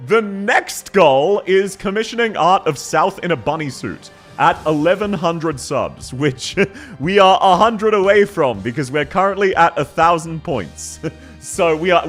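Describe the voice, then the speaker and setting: An Australian man chatting on a live stream, his voice pitched a little high and bouncing all over as he giggles through thank-yous. He talks close to a desk mic with faint music playing behind him. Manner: giggly, thanks viewers warmly. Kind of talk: casual livestream chat.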